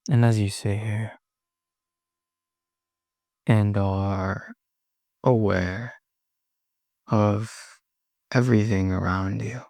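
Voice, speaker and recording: A man leading a guided meditation in a soothing manner, pausing often between short phrases. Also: on the low side, fairly even intonation, vocal fry, darkish tone, dry recording, clean studio sound. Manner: slow, pausing, soothing delivery.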